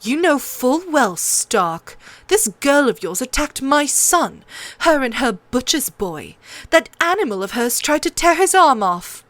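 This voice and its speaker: A woman's voice: high voice, very animated, a little slow, fairly bright tone, dry recording, pitched above the performer's own voice, heavily acted. A woman playing a haughty, accusing queen and protective mother in an audiobook.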